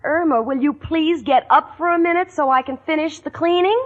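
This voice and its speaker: A woman's voice: high-pitched, fairly quick, lightly acted. An actress with an American accent playing a wry, exasperated young woman narrating a 1940s radio sitcom. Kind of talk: 1940s radio comedy acting. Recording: tinny narrowband 1940s radio recording.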